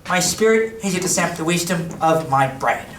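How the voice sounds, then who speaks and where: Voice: mid-range voice, lively intonation, normal speed, natural voice. An actor playing a mild, genial undertaker in a school stage play. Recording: distant roomy stage recording, loud hiss.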